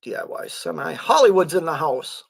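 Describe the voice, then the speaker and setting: Slightly high-voiced man, voice going hoarse. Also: sing-song. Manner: halting, clears throat often, many fillers. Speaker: truck driver and livestream host. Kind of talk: casual livestream chat reading viewer comments.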